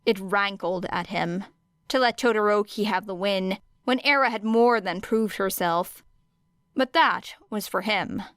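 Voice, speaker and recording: Slow, clear female audiobook narrator. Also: mid-range voice, fairly bright tone, no room sound, studio quality. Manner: measured, deliberate pauses. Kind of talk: scripted fiction narration.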